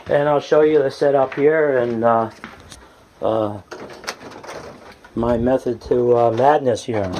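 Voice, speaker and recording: A man giving a casual, halting, folksy hands-on demonstration as a radio hobbyist, from the United States. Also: on the high side, a little mellow. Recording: noisy home video with radio sound.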